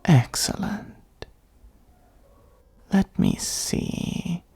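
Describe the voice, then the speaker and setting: A performer of unclear sex voicing an ancient, haughty dragon in a comedic fantasy ASMR roleplay, with a breathy, husky, gravelly voice and a sardonic, condescending, musing manner. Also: drawn-out words, dark tone. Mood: haughty, bargaining. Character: ancient gold-hoarding dragon, haughty, dryly exasperated.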